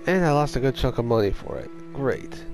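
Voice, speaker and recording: A young man with a hoarse voice chatting casually over his gameplay as a gaming host, swearing when things go wrong. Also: mid-range voice, wildly swooping pitch, fairly quick, music in the background. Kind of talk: casual let's-play commentary.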